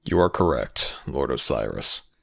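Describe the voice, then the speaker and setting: Casting: brooding underworld jackal god, bottomless bass growl, forceful edge, humourless formal speech, fantasy audio drama. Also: male voice, lively intonation, a little slow, full character voice. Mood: grave, reserved. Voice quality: very deep, gravelly. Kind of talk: scripted fantasy audio roleplay.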